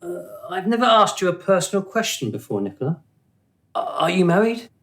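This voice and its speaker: A courtly male suitor, acted by a man in a radio drama. He is polite and a little hesitant, and his pitch rises and falls in lively sweeps. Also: brisk. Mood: shy, hesitant. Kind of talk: radio play acting.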